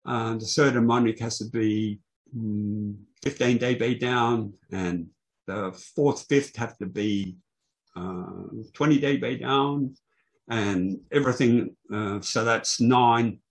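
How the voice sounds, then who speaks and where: An older man presenting technical material on a video call, explaining in a halting way. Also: mid-range voice. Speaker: presenter, loudspeaker engineer. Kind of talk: technical presentation to an online meeting. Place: small indoor room, online video call. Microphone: video call microphone.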